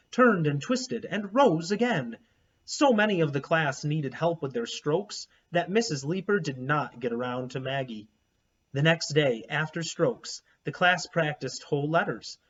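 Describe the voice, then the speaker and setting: A man reading a children's storybook aloud expressively, pausing to explain things to his listeners. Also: on the high side, husky. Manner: animated, explanatory. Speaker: read-aloud narrator, teacher. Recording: dull, lo-fi recording.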